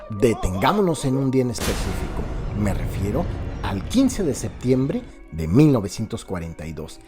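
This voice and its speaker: Theatrical Mexican man narrating over loud music. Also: on the low side. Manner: theatrical, addresses listeners directly. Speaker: narrator and host of a true-crime radio serial. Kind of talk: dramatic scripted storytelling narration. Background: background music.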